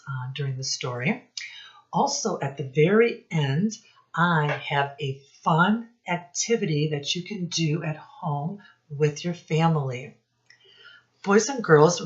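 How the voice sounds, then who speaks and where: A woman teacher speaking deliberately to young children in a read-aloud lesson, addressing them directly with questions. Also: low voice. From United States. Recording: dull lo-fi sound.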